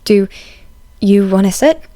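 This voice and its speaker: A woman voicing a quiet, awkward young male college student with a terse, understated manner in a story reading. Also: mid-range voice, lively intonation, measured pace, no room sound, lightly acted. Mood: reserved, awkward.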